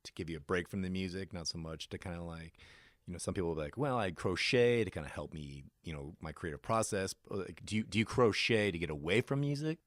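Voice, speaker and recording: A man's voice: low-pitched, quick pace, dry recording, studio quality. An American man hosting a casual radio interview, asking questions in an informal, tentative way.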